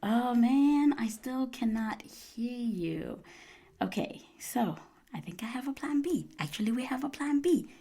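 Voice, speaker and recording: A woman hosting a casual live radio show, excitable and chatty, repeating herself with plenty of fillers. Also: a little high, measured pace, slightly rough. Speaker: radio host. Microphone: live stream studio mic.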